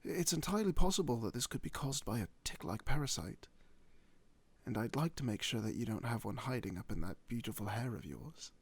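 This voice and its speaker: A man playing a courteous werewolf doctor in a fantasy ASMR roleplay, speaking formally and reassuringly with old-fashioned wording and a husky voice. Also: mid-range voice, lively intonation, brisk. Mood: polite, reassuring.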